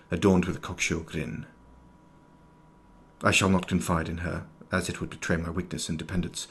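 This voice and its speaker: A man playing an erudite, formal nineteenth-century doctor in an audio drama, speaking in precise, ornate sentences with a husky voice. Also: low voice, fairly bright tone, heavily acted. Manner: precise, ornate, old-fashioned diction. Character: erudite, formal 19th-century doctor, inwardly fearful, sharp-eyed. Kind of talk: scripted audio drama, journal narration and dialogue.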